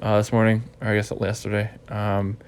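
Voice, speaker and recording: A man's voice: on the low side, completely flat delivery, brisk, smooth. A stuffy, congested man hosting a casual podcast recap, rambling and repeating himself as he thinks. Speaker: podcast host.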